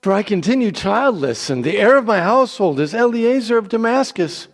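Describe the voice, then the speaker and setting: A man's voice: high voice, very animated, fairly quick, long reverb tail, voice pushed up, heavily acted. A man voicing a childless biblical patriarch who pleads and questions earnestly in a dramatic scripture reading. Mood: anguished pleading.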